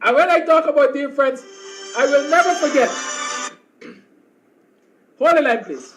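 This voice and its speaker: A man with a Guyanese accent hosting a live call-in talk show, chatty and quick to laugh. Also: very high-pitched, animated, music in the background.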